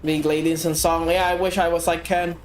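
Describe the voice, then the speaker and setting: A woman from the Philippines streaming a game, chatting casually and getting excitable as she plays. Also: lowish voice, fairly quick, slight background noise. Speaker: VTuber streamer. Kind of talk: casual gaming chat. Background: game music.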